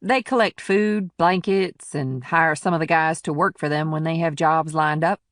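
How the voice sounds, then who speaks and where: A man's voice: fairly high pitch, normal speed, fairly bright tone, no echo, pitched above the performer's own voice, close to an everyday voice. Man voicing a plainspoken small-town policewoman, dry studio sound. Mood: defensive. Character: firm, plainspoken small-town policewoman defending her townsfolk. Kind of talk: audiobook character dialogue.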